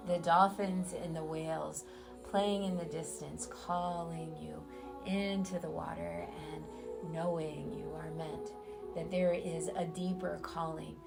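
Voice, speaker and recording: Slow, low-voiced woman guiding a meditation. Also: steady tone, gravelly. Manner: soothing, drawn-out phrases with long pauses. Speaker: guided meditation teacher. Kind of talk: guided meditation. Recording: loud music under the voice. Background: music.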